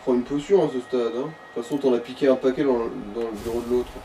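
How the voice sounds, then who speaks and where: A man's voice: medium pitch, fast, dark tone. A man chatting casually as co-commentator of a video game, giving dry, corrective advice to the player. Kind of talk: casual gaming chat. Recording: distant mic with faint noise.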